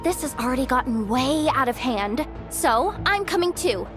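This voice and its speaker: Casting: jittery young female game guide, very high voice, swooping delivery, boastful but soft-hearted. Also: animated, moderate pace, over music. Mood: stubborn. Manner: flustered, boastful, stammering. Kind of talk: animated game voice acting.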